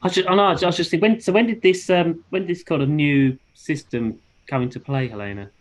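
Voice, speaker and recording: A man with a fairly high voice for a male speaker hosts a class discussion. He talks casually, reacting and putting questions to the guest. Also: a little fast. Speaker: ground-school session host.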